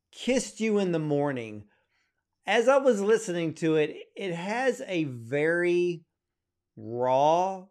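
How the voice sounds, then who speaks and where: A man with a fairly high voice hosts a casual interview. He speaks conversationally and a little haltingly as he comments and leads into questions. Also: a little mellow. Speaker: interview host.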